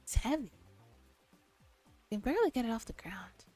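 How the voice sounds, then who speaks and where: A woman softly reading a visual novel aloud in a relaxed ASMR style, with asides to her chat. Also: a little high, normal speed, faint music behind. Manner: soft, gentle delivery. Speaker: streamer.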